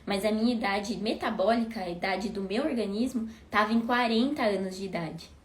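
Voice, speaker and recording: A woman's voice: medium pitch, a little fast. A woman in her thirties talking casually to viewers, asking herself questions and answering them. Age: 30s. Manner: conversational, asks rhetorical questions. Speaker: host. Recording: echoey room with faint noise.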